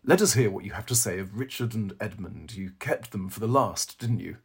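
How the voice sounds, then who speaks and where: A man reading a dry, challenging literary critic in a novel, pointed and superior, with a husky voice. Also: on the low side, moderate pace, heavily acted.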